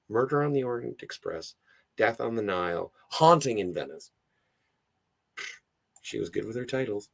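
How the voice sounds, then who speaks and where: Male streamer, hoarse with gravelly fry, animated intonation, casual and digressive, reading chat comments aloud. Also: mid-range voice. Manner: rambling, digressive, easily sidetracked. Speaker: livestream host.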